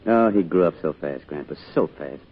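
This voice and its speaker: A man's voice: a bass voice, lively intonation, brisk, breathy edge, heavily acted. An actor playing a fond, easygoing middle-aged small-town father in an old-time radio drama, speaking with an American accent in a plain, folksy way. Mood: wistful, fond. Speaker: narrator and father character. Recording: dull, noisy old radio recording.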